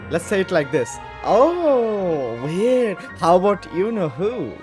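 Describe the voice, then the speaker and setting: A man's voice: high voice, sing-song, slow. A man giving casual, slangy gameplay commentary and reacting as he plays. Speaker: gaming streamer. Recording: game music behind. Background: game music.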